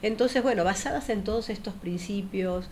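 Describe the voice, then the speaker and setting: A middle-aged woman with an Argentine accent, speaking as a studio guest in a thoughtful, measured way with frequent fillers. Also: medium pitch, darkish tone, a little hiss or hum. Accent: Argentine Spanish. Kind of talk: casual studio conversation. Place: small TV studio.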